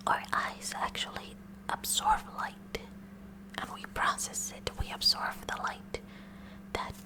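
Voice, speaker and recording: A woman whispering an ASMR roleplay as a kooky, eagerly curious high school science club president, with an inquisitive, hushed manner. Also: close to an everyday voice, low-quality recording. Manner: inquisitive, hushed, slow and deliberate examining.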